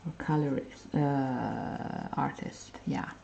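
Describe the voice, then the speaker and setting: A woman's voice: very deep voice, steady tone, slow, gravelly, background noise. A woman from Hungary hosting a coloring livestream, chatting casually with viewers and reading their comments aloud. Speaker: livestream host. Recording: dull lo-fi stream audio.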